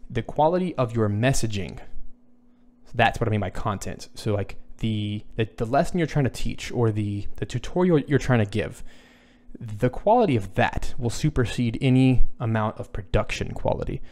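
A man in his thirties with a low voice, a music composer answering viewer questions in a casual livestream from his small home studio, thinking aloud with frequent fillers and restarts. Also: animated, moderate pace, darkish tone. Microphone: boom studio microphone, headphones on.

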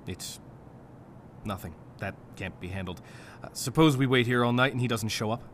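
An actor playing a cynical, driven district attorney in a noir radio drama, sardonic and impatient. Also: male voice, medium pitch, normal speed, a little hiss or hum, lightly acted. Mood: wry.

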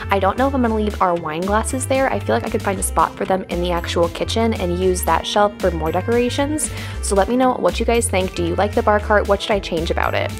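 A woman's voice: mid-range voice, fast talker. A young woman in her twenties, chatting casually and asking her viewers questions while narrating a clean-with-me video at home. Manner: chatty, upbeat, asks viewers many questions. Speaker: lifestyle vlogger. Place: home, kitchen and bathroom. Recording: narration over loud background music.

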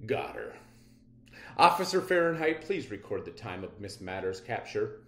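A man playing a brisk, bossy and impatient police captain in a comic book. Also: lowish voice, very animated, reverberant. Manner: commanding, impatient.

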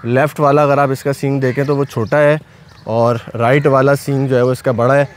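A young man with a Pakistani Urdu accent talks from behind the camera in an outdoor farm yard. His voice sits at a middle pitch, and he speaks with eager, proud enthusiasm, often repeating blessings. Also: little pitch movement, fairly quick. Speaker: cattle farm owner. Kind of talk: casual vlog narration.

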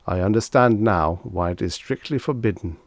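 A man voicing a formal, earnest novice agent of death in a story reading, with a stiff, polite, measured delivery. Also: fairly low pitch, no echo, lightly acted. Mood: agreeable.

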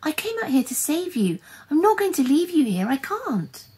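A woman's voice: sits high, big pitch swings, normal speed, a little mellow, pitched above the performer's own voice. A woman voicing a brave, panicked young girl who pleads desperately in a storybook reading. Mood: worried pleading.

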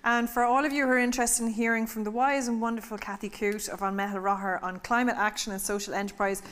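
A woman's voice: fairly high pitch, level tone, fast talker, fairly bright tone. A woman in her forties co-hosting a conference. She reads out sessions from the stage in a playful, chatty way. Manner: playful, chatty, jokes with the room. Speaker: conference co-host. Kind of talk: hosting announcements. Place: stage of a large conference hall.

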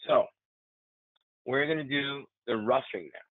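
A man walking through a live software demo, enthusiastic and chatty, often restarting his sentences. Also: medium pitch, a little slow, no echo. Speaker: software demonstrator. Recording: tinny phone-like, dry audio.

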